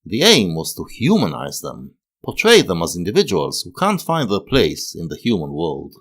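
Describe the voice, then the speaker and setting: Casting: male video-essay narrator, fairly deep, sweeping melodic delivery, analytical, with emphatic pauses. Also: wildly swooping pitch, a bit bright.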